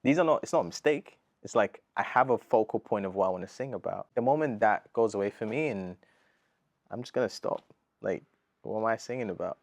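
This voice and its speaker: Gospel artist guest, British man around thirty, low-voiced and animated, dry close sound. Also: a little fast, dry recording. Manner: earnest, animated, slangy, says bro a lot. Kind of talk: casual interview chat.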